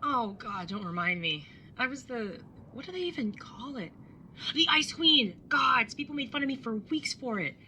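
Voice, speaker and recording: A woman's voice: on the high side, lively intonation, normal speed, bright, forceful tone, a little hiss or hum, exaggerated voice. A woman playing a laid-back, blunt older sister in her young adulthood, casual and teasing, in a naturalistic audio drama. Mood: amused, embarrassed. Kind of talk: naturalistic audio drama dialogue and first-person narration.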